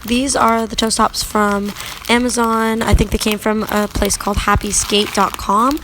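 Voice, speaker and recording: A young woman with a sore, raspy throat giving a chatty how-to voiceover about skate parts. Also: medium pitch, normal speed, slight background noise. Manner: chatty, relaxed, with many fillers. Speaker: skating vlogger. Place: home at night.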